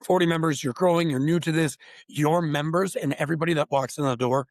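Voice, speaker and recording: A man hosts with gushing enthusiasm and rambles as he goes. His voice sits a bit high, and the sound is dry and close, like a remote call. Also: fast, no echo. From Upper Peninsula of Michigan, USA. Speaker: podcast host. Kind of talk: casual interview chat.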